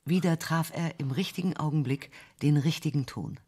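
A man with a somewhat high voice reads documentary narration. His reading is clear and composed, at an ordinary pace. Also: normal speed, mellow.

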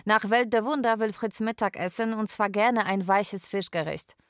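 A woman playing a carer who narrates a daily routine in a radio drama, measured and matter-of-fact. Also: mid-range voice, clean tone, no echo, clean studio sound, lightly acted.

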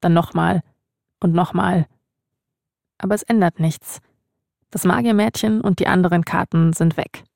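A woman's voice: fairly low pitch, fairly even intonation, normal speed, vocal fry, pauses often, darkish tone, no echo, studio quality. A woman hosting and narrating a scripted podcast story. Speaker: narrator, host.

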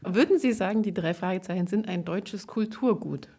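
A woman with a medium-pitched voice conducts an interview. She asks brief, conversational questions. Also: normal speed, warm tone, husky.

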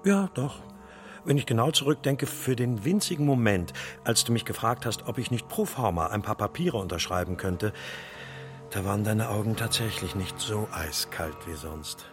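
An actor plays an embittered man in a radio play, answering his partner with dry sarcasm. His voice is fairly low, with faint music behind it. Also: expressive, moderate pace, lightly acted. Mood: sarcastic. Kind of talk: radio drama dialogue.

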